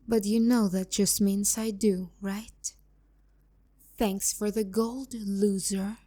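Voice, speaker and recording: A woman playing a haughty, teasing demon girl and bitter romantic rival in an intimate ASMR roleplay, sharp-tongued and slipping into whispers. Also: mid-range voice, steady tone, takes it slowly. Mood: smug, teasing.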